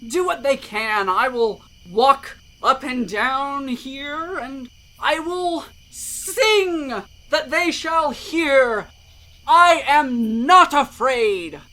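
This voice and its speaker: A woman's voice: sits high, lively intonation, very slow, sharp tone, full character voice, poor audio. A woman playing a blustering, stubborn male fool full of bravado in a theatrical Shakespeare reading. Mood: defiant bravado. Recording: music behind the voice.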